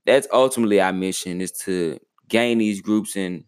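Laid-back, lower-voiced young man. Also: smooth. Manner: laid-back, measured, often trailing off quietly. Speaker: podcast co-host. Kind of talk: casual chat.

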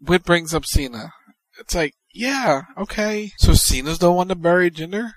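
A man's voice: sits high, lively intonation, moderate pace, dry recording, poor audio. A man co-hosting a wrestling podcast, giving blunt opinions in casual chat. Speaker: podcast co-host.

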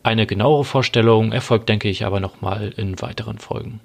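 A young man in his twenties hosts a relaxed podcast chat, his voice sitting a little low. He often pauses and fills the gaps with small hesitation sounds as he searches for words. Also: gravelly. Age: 20s. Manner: hesitant, many filler sounds. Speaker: podcast host. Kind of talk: casual podcast conversation.

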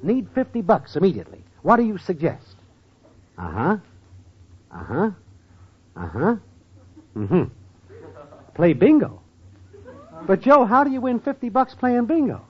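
An actor playing a scheming, jobless city hustler in an old radio comedy, with a slangy, wisecracking delivery. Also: male voice, high voice, huge pitch swings, measured pace, pauses often, slightly rough, full character voice. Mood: scheming, breezy. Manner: slangy, wisecracking wise guy. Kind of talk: 1940s-50s radio comedy acting. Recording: muffled, noisy old radio recording.

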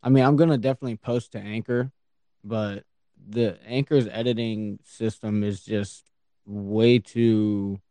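Man, podcast co-host, laid-back chat, fillers, lowish voice, dry sound. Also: fairly even intonation, a little slow, warm tone, no echo.

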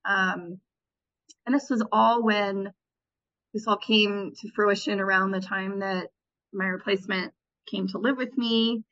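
A woman's voice: mid-range voice, steady tone, a little slow, fairly bright tone. Woman reflecting in an interview, lo-fi sound. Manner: reflective, with frequent restarts and fillers. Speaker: interview guest, former Peace Corps volunteer. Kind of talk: conversational interview answers.

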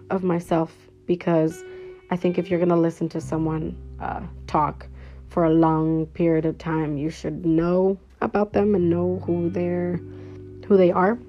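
A woman's voice: fairly low pitch, a little mellow. Chatty young woman, podcast intro. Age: 20s. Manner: chatty and upbeat, rambling with fillers. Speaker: podcast host. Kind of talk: casual solo podcast intro. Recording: slightly dull lo-fi sound with faint guitar music.

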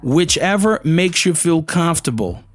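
Brazilian man, native Portuguese, raised-pitch voice, chatty English lesson with faint music underneath. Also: animated, moderate pace. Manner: chatty, fills with "you know" and "man", acts out learners' voices. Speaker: English teacher. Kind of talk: casual video lesson.

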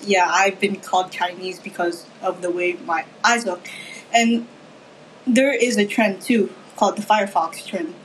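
A soft-spoken teenage girl from the Philippines talks in a hesitant way, often repeating her words. Her pitch sits in a middling range, with a faint background hum behind her. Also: rough recording. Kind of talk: casual discussion.

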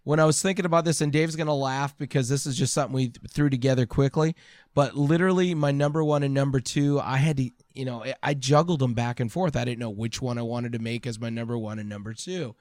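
A man's voice: medium pitch, fast talker, no echo. A man co-hosting a podcast, chatting casually about video games and acting out little quotes as he tells stories. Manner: animated storyteller, acts out imagined quotes. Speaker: podcast co-host.

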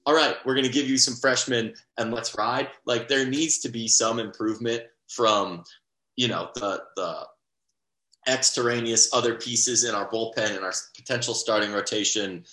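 Man, young adult, casual rambling talk, bright forceful voice, roomy sound. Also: mid-range voice, steady tone, normal speed. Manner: rambling, lots of restarts and fillers. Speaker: podcast co-host. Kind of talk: casual sports podcast chat.

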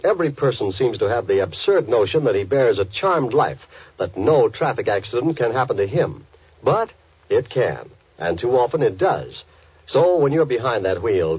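A man's voice: medium pitch, big pitch swings, moderate pace, husky, no room sound. A man reading a solemn, scripted safety message in an earnest, oratorical old radio style. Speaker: public service announcer. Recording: dull, dry old radio recording.

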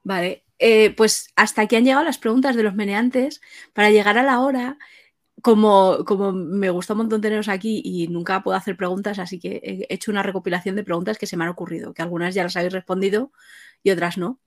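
A woman's voice: medium pitch, steady tone, fast. A woman with an accent from Spain hosting an informal interview and putting questions to guests. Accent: Spanish from Spain. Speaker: interviewer, host.

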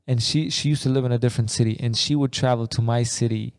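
A man's voice: medium pitch, fairly even intonation, fast talker, dry recording. A young man from Afghanistan, co-hosting a podcast in loose, rambling casual chat full of slang like bro and man. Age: young adult. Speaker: podcast co-host.

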